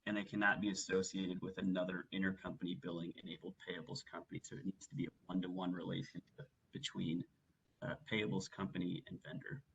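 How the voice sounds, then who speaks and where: A man working methodically through a software walkthrough as a webinar trainer, explaining each step. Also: sits low, level tone, fast, gravelly, highs cut off, a little hiss or hum. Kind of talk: instructional software walkthrough, semi-scripted presentation.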